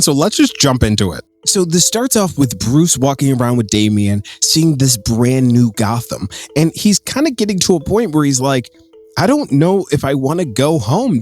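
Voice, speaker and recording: A man hosting a comics recap, retelling the story in a casual, conversational, enthusiastic fan commentary. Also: fairly high pitch. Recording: voice with music underneath. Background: music.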